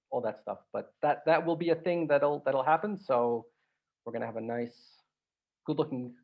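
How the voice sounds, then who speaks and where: A man hosts a podcast in a relaxed, conversational way, his voice carrying a gravelly texture. The audio sounds slightly dull and lo-fi. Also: medium pitch. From Croatia. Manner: relaxed, chatty, with restarts and filler. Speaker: podcast host. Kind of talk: casual interview chat.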